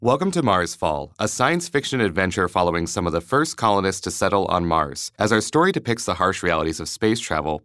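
A male announcer delivers a scripted introduction in a formal, measured way. His voice is very low, and the recording is close and dry. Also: a bit bright, no echo. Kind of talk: scripted programme intro and content warning.

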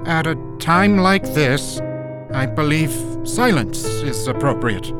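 An actor playing a drunk, pompous academic who lectures in a slurred voice. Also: male voice, a little high, animated, slow. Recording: music playing underneath.

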